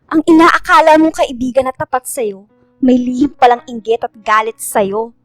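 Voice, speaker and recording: Woman acting a high-voiced, blunt young best friend. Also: normal speed, dry recording, clean studio sound, faint music behind, lightly acted. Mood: blunt, confronting. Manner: blunt, emphatic. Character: frank, caring young woman confronting her best friend. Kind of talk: radio drama acting.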